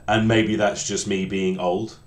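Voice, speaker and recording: A man in his late thirties with a low voice talks through his coding session on a livestream, trailing off and restarting his sentences. His casual, self-deprecating chat rings out in a roomy, echoey space. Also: little pitch movement. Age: 30s. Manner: rambling, halting, many restarts, self-deprecating. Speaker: game developer streaming.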